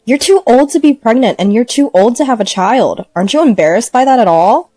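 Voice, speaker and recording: A woman's voice: fairly high pitch, animated, fairly quick, mellow, no room sound, studio quality, soft background music, pitched above the performer's own voice. A woman voicing a callous husband in his thirties, dismissive and belittling, in a narrated story. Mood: scornful.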